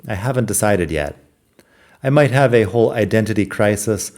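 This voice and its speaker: A man's voice: on the low side, lively intonation, normal speed, darkish tone. A middle-aged American man hosting a podcast, reading his own scripted personal essay in a wry, self-deprecating, reflective way. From United States. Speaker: podcast host.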